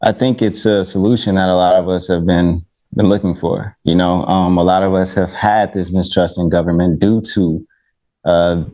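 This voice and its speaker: A man speaking as a guest in a casual, measured discussion. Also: low voice, fairly even intonation, dark tone. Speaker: guest, recording artist.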